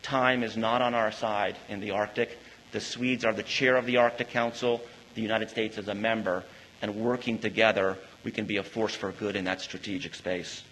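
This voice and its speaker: A man in the role of ambassador reads a formal ceremonial address at a podium, measured and diplomatic. His voice sits fairly low. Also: steady tone, fairly bright tone, a little hiss or hum, rough recording. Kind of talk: formal prepared speech.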